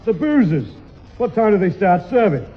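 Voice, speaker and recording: Blunt, animated Northern labourer. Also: male voice, sits high, moderate pace, music in the background. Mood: cheekily familiar. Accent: Northern English. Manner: blunt, familiar. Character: blunt, rough-and-ready labourer fond of drink. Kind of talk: 1960s radio sitcom acting. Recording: muffled 1960s radio broadcast.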